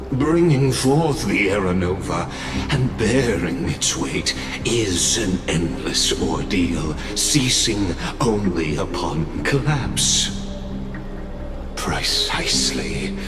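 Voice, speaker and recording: A man playing a grandiose, sinister lord in a fantasy video game, with solemn, theatrical, deliberate delivery. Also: sits low. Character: grandiose, sinister male lord shedding a scholar's masquerade. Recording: echoey reverberant voice over music.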